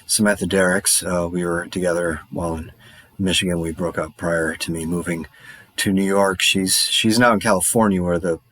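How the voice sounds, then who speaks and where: An actor playing a hesitant, awkward podcaster in a naturalistic audio drama, halting and stammering in a low-key, casual way. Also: male voice, steady tone, fairly bright tone, no room sound. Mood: hesitant, resigned. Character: hesitant, awkward male podcaster enduring a zombie outbreak.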